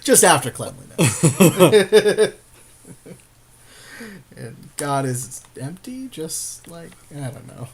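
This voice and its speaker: A man's voice: on the high side, expressive, very slow, long pauses. A man co-hosting casual card game commentary, agreeable and slangy with a jokey streak.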